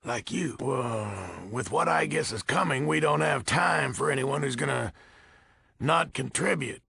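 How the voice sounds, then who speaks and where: Gravelly, gruff old American commander. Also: male voice, medium pitch, a little slow, sharp tone, exaggerated voice. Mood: grudgingly friendly, then earnest, firm. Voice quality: gravelly, raspy. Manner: folksy, blunt, grumbling. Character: gruff, weary old military order leader, blunt. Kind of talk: video game character dialogue.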